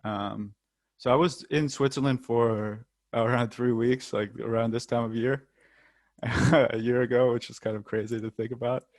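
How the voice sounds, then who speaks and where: A man's voice: medium pitch, fairly even intonation, normal speed, a little mellow, no echo. A young American man, a football player, chatting casually in an interview with frequent ums and the odd light laugh. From United States.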